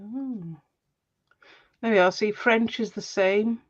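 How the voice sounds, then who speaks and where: A Welsh woman chatting casually while she crafts, friendly and quick to laugh. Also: medium pitch, lo-fi sound. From Wales.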